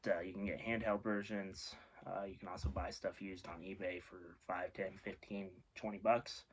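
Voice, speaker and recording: A man giving a casual, informal explainer as a video host, rambling and offhand, often correcting himself. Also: low-pitched. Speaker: host of a radio hobby video series.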